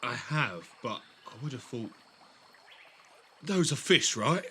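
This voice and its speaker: An actor playing a young, inquisitive theatre student in an audio drama, questioning and incredulous. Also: male voice, mid-range voice, expressive, takes it slowly, sharp tone, a little hiss or hum, full character voice. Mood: doubtful.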